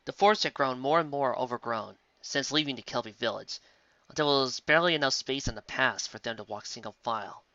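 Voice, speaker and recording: A narrator reading a scripted fantasy story aloud in an expressive storytelling style. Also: mid-range voice, fairly quick, no echo, highs cut off. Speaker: fiction narrator.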